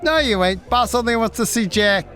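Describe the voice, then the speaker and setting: A streetwise goon from an old gangster drama. His voice is strikingly high, mocking and pushy, full of wise-guy slang, over faint music. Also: animated, moderate pace, bright, forceful tone, full character voice. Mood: irritated. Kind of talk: period gangster radio drama.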